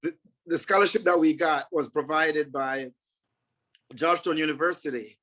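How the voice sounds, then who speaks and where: Man from Jamaica, interview guest, earnest, measured, pauses with fillers. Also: on the high side, a bit bright, low-quality recording.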